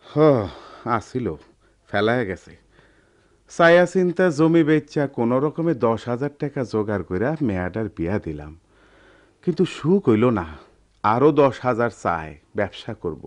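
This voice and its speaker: Old village father male actor, radio drama, rural eastern Bengali dialect, very lively intonation, plaintive, deferential, worried. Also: medium pitch, normal speed, natural voice. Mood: worried, pleading. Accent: rural eastern Bengali dialect, Bangladesh. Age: older. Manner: plaintive, folksy.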